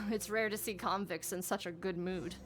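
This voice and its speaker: A woman's voice: mid-range voice, steady tone, normal speed, music in the background, close to an everyday voice. An actress playing a polite, confident female officer in a video game, formal and self-assured with a teasing edge. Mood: lightly amused. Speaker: game character. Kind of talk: video game dialogue acting.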